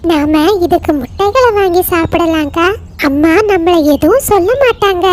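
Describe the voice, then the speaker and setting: A woman's voice: very high-pitched, lively intonation, normal speed, slight background noise. A woman voicing a small, pleading little brother bird in a children's cartoon. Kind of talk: children's cartoon story acting.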